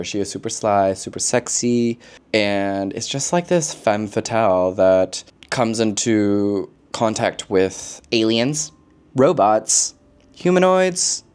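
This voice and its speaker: A man chatting casually as a book vlogger, expressive and slangy, with playful asides. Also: lowish voice, clear, resonant tone. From lives in Korea, origin unstated. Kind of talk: casual chat, book recommendations to camera.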